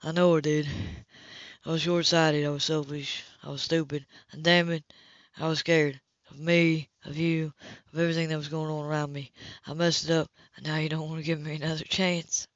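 A woman's voice: low-pitched, flat delivery, moderate pace, no echo, dull audio, pitched below the performer's own voice, close to an everyday voice. A woman voicing a determined, blunt, smitten man, insistent and earnest, in an audiobook romance. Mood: earnest, tender.

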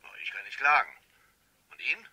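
An actor playing a jovial, teasing police inspector over a telephone in a 1970s German radio crime drama. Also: male voice, medium pitch, fairly quick, bright, forceful tone, slightly rough. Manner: teasing, familiar. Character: jovial, needling male police detective inspector. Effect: telephone filter.

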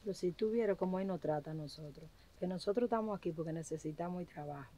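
A woman's voice: lowish voice, fast talker, mellow, close to an everyday voice. A middle-aged woman acting as a kindly housekeeper who speaks in a familiar, confiding way at an outdoor farm gate. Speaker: actress. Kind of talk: web drama acting.

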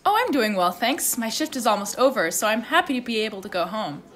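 A woman's voice: on the high side, sing-song, quick pace, fairly bright tone. A woman playing a chirpy, sweet and friendly young coffee-shop barista in a radio play. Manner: chirpy, sweet customer service.

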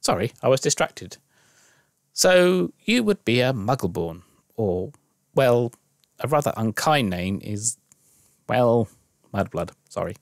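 A man's voice: mid-range voice, very animated, slow pace, pauses often, no echo, clean studio sound. A man voicing a friendly, chatty young schoolgirl witch in a story. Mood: apologetic.

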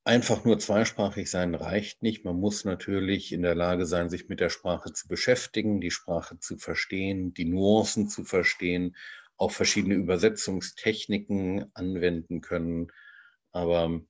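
Bilingual male lecturer, deep voice, measured and explanatory, recorded on a video call from home. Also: fairly even intonation. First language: bilingual German and English. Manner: measured, explanatory, with frequent hesitations. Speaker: translator giving a talk. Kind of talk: online lecture over slides.

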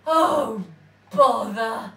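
A blustering, grumbling troll from a children's storybook, hungry and fed up, as a woman puts him on while reading aloud. The voice is slow and booming. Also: vocal fry, sharp tone, roomy sound, far from the mic, exaggerated voice. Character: grumpy hungry male troll under a bridge.

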